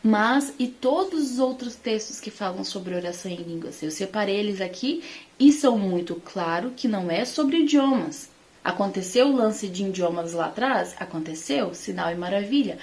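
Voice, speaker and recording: Woman, Brazilian accent, medium pitch, informal Bible teacher, conversational, emphatic delivery. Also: expressive, normal speed, darkish tone. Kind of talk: informal Bible teaching talk to online followers.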